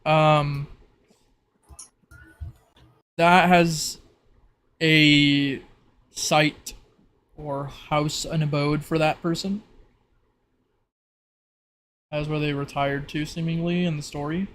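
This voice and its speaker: A man improvising narration as a tabletop game master in a halting way. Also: fairly high pitch, little pitch movement, fairly bright tone. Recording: clearly noisy background.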